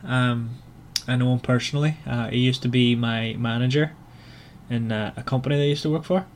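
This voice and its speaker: A man hosting a casual book club chat in a hesitant, halting way, often restarting his sentences. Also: medium pitch, steady tone, a little hiss or hum. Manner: hesitant, halting delivery with repeated false starts. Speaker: podcast host.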